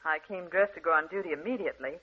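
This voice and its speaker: An actress playing a polite, deferential, sympathetic nurse with a proper manner in an old radio drama. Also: female voice, on the low side, very fast, slightly rough. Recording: dull old radio recording.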